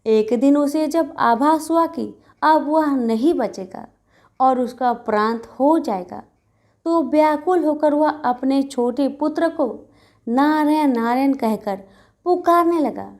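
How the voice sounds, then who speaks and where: A woman's voice: high-pitched, takes it slowly, darkish tone. A woman narrating a scripted devotional story as a storyteller.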